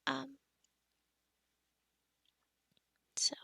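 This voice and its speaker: A woman playing a kind, awkward young forest witch in an ASMR roleplay, speaking softly and hesitantly, often close to a whisper. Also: measured pace, no echo. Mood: sheepish storytelling. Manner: hesitant, rambling, softly spoken with whispery stretches. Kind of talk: ASMR roleplay addressed to the listener.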